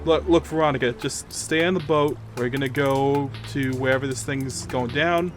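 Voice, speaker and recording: A man's voice: mid-range voice, fairly even intonation, normal speed. A man playing a tabletop game, casually narrating and speaking for his character. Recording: music behind the voice.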